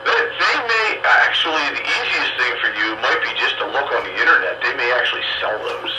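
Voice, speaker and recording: A man giving technical advice in a casual radio chat, explaining hesitantly and pausing to think. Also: medium pitch, very animated. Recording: distant, echoey, noisy radio transmission.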